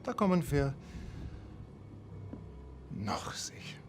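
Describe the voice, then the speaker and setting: Suave German officer, unhurried, menacingly polite, swooping intonation, war game villain. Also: male voice, on the high side, very animated, over music. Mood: coolly suspicious. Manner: formal, drawn-out, mock-courteous. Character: suave, menacing Nazi commandant testing a visitor. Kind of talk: cinematic game acting.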